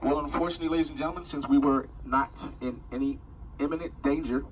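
A man's voice: a little high, steady tone, slight background noise. A man making a formal announcement as train conductor over the public address system. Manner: formal, measured announcer phrasing. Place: train car. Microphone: train PA system, picked up by a phone camera. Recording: distant muffled PA speaker filmed on phone.

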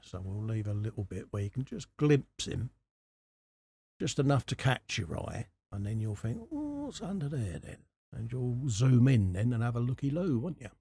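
Bloke doing a laid-back craft tutorial, husky mellow voice, chatty and informal. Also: male voice, on the low side. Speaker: host of a scale model workshop channel. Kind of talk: casual hobby tutorial commentary while working. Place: indoor hobby workbench.

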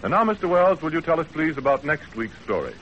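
A man announces in a bright, forceful voice pitched a little high. His delivery is polished, formal and scripted, on a dull vintage 1930s radio recording. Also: big pitch swings, fast, a little hiss or hum.

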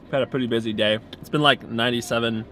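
Chill thirty-something dad talking to the camera outside, noisy outdoor audio. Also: male voice, mid-range voice, very fast. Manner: relaxed, chatty, talking to his kids. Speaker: family vlogger. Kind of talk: casual vlog narration. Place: outdoors by a cabin and river. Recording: outdoor camera audio with clear background noise.

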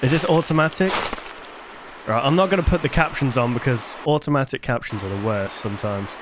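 A man's voice: mid-range voice, a little fast, noisy background. A man in his twenties giving casual reaction commentary into a close desk microphone. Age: 20s. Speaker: reaction video host. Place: bedroom gaming setup, indoors.

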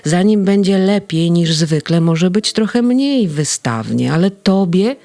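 A woman voicing a gentle, wise male guardian in a children's story, speaking in a soft, reassuring way. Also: on the low side, expressive, measured pace, dry recording. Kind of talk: storybook reading.

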